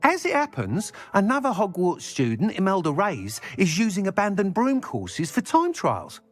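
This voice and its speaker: A chatty male shopkeeper and tinkering inventor in a fantasy video game. His voice sits a little high and swings freely as he pitches his wares with keen enthusiasm. Light music plays behind him. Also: wildly swooping pitch, moderate pace. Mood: keen, scheming. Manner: enthusiastic salesman's patter. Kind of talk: scripted game dialogue.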